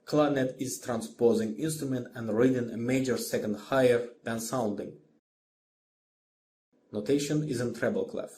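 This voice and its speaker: A man giving a scripted music lesson as a lecturer, in a measured, didactic manner. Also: mid-range voice, mellow, slight background noise. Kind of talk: scripted instructional narration.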